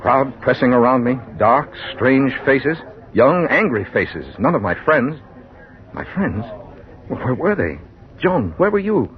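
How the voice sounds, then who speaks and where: An actor playing a bewildered, troubled man narrating a strange ordeal in halting fragments, in old radio drama style. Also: mid-range voice, sing-song, muffled recording. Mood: haunted, troubled. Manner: halting, fragmented, intense first-person delivery. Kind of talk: 1940s radio drama acting.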